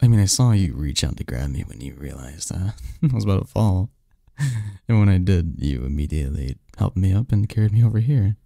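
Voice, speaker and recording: Lovestruck young male lead, played by a man for ASMR romance roleplay: gravelly, hushed and very mellow, halting confessional delivery. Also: low voice, lively intonation, no echo, studio quality. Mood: tender, admiring. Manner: halting, breathy, murmured confession with stammers. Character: lovestruck young male research assistant confessing to his best friend. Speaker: audio roleplay performer.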